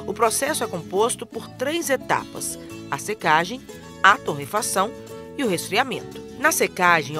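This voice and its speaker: A woman reading a scripted instructional voice-over in a clear, even manner. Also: mid-range voice. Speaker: narrator. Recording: music under the voice.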